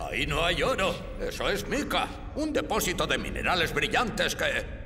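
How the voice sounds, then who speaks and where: A man's voice: a little high, sing-song, unhurried, soft background music, heavily acted. A man voicing a fussy, bossy middle-aged fire chief in a cartoon dub, with a Spain Spanish accent and a stern, lecturing manner. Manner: stern, lecturing, shouts orders. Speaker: dubbing actor.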